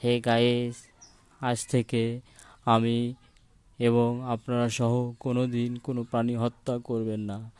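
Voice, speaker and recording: A man's voice: medium pitch, completely flat delivery, slow pace, pauses often, darkish tone, clear, resonant tone, dry recording. A man narrating a children's cartoon story as a voice-over.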